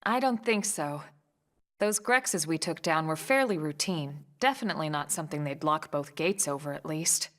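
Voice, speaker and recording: A woman playing a serious, cautious veteran squad leader in a video game, with an American accent and a measured, authoritative delivery. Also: low-pitched, no room sound, clean studio sound. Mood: calm, cautioning. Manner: measured, matter-of-fact, authoritative. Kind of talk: video game cutscene acting. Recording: loud game music behind the voice.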